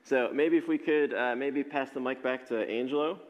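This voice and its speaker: A man hosting a casual panel with coaches, relaxed and upbeat, laughing easily. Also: medium pitch, normal speed, lo-fi sound. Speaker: host leading a coaches' panel. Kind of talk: casual group interview. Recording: echoey hall with long reverb.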